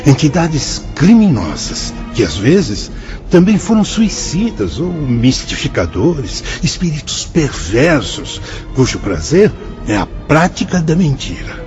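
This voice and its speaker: An actor playing a patient, wise spirit guide in a radio drama, speaking with solemn, sweeping phrasing and music behind. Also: male voice, fairly high pitch, very animated, takes it slowly, highs cut off. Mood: explaining.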